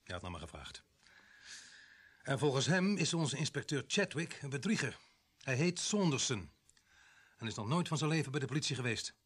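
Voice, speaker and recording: A village police sergeant in a radio drama. His voice is husky and moves up and down animatedly. He grumbles, hesitates and stumbles over his words. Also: mid-range voice, sing-song, moderate pace, pauses often, a bit bright. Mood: matter-of-fact. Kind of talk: naturalistic radio play acting.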